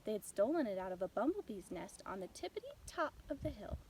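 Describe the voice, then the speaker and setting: A woman reading a children's bedtime story as an expressive narrator. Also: medium pitch, fast, a little hiss or hum, low-quality recording.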